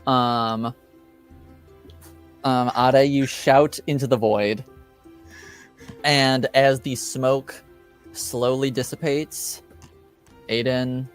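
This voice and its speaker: A man of medium pitch narrates as game master in a tabletop game. His phrasing is halting and improvised as he describes scenes and asks for rolls. Music plays behind his voice. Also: fairly even intonation, smooth.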